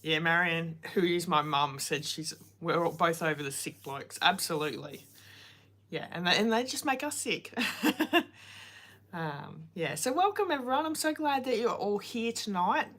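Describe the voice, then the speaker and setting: A woman in her forties chats casually on a craft livestream, greeting viewers by name and wandering into rambling asides. Her voice sits a little low, and a cold has left her throat slightly sore. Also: fairly bright tone. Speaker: card-making livestream host.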